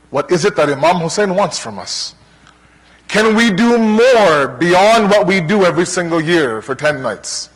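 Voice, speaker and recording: A man from Toronto with a Canadian accent preaching to a congregation in an earnest, rhetorical manner, pausing between deliberate parallel phrases. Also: high-pitched, fairly bright tone, slightly rough. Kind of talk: religious lecture to a congregation. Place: large hall, speaking to a gathering. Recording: long hall reverb.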